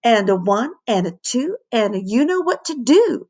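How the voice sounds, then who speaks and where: A woman voicing an excitable, bossy male music teacher in a children's storybook, in a commanding, theatrical manner. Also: on the high side, moderate pace. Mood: rousing.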